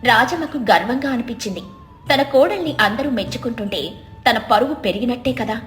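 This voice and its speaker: A woman's voice: a little high, expressive, fairly quick, faint music behind. A woman reading a scripted moral story as a storyteller. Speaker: story narrator. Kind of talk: scripted narration of moral stories.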